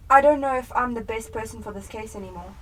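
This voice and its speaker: A woman's voice: on the high side, fast, a bit bright. A young South African woman playing an earnest, emotionally invested police detective in a radio drama. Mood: troubled, confiding. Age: young adult. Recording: distant mic, noisy room ambience.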